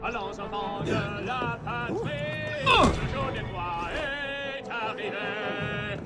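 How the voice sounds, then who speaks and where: Cruel mocking revolutionary thug, game villain, high swoopy theatrical voice over loud music. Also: male voice, big pitch swings, slow, full character voice. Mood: mock-polite gloating. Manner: sing-song mocking, theatrical. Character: cruel gloating male revolutionary thug taunting a captive. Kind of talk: dramatic video game acting.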